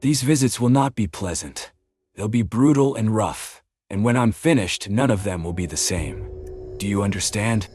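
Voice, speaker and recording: A friendly-sounding but menacing male enforcer, as a man reads him in an audiobook. His voice sits low, and faint music plays behind him. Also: animated, moderate pace, husky. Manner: cheery tone over quiet threats, says "mate". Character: menacing jovial male enforcer, thickset hired guardian.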